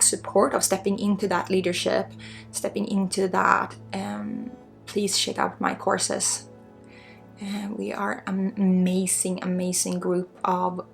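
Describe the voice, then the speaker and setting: A woman with a Swedish accent giving unscripted spiritual guidance in an ASMR healing session, earnest and encouraging, with halting phrasing. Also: medium pitch, vocal fry. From Sweden. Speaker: spiritual guide and energy healer.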